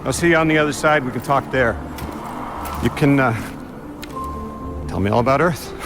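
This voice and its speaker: A man plays a guarded video game investigator with a middle-pitched voice. He is brisk and businesslike, with clear music underneath. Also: close to an everyday voice. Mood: brisk, intrigued.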